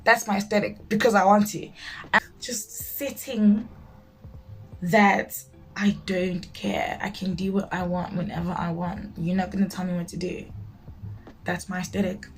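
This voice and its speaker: A woman's voice: fairly low pitch, fairly even intonation, a little slow. A nineteen-year-old woman chatting casually to viewers as she does her makeup, blunt and emphatic, often repeating herself for emphasis. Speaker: beauty vlogger. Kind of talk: casual chat while doing makeup, answering viewer questions.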